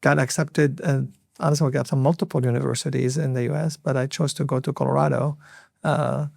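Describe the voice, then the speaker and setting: Gravelly man in his fifties. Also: medium pitch, fairly even intonation, a little mellow, dry recording, studio quality. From Lebanon. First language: Arabic. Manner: earnest, reflective, many filler pauses. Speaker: hospital CEO, podcast guest. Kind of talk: interview storytelling.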